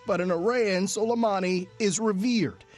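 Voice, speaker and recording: A woman's voice: medium pitch, normal speed, a little mellow, faint music behind. A woman news correspondent narrating a scripted television report.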